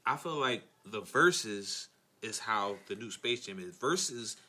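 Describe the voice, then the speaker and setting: A man's voice: fairly low pitch, lively intonation, slow, bright, forceful tone. An American man co-hosting a podcast in a casual debate, opinionated and talking in profane slang. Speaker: podcast co-host.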